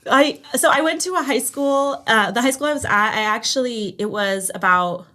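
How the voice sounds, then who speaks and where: A woman's voice: a little high, normal speed, sharp tone. A woman telling personal stories casually and animatedly as a podcast guest. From Los Angeles area, United States. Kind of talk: casual conversational storytelling.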